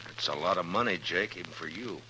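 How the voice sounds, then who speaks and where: Low-voiced, steady frontier marshal. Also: male voice, quick pace, slight background noise, poor audio. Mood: businesslike. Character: steady, plainspoken frontier marshal, weary and firm. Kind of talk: 1950s radio western acting and narration.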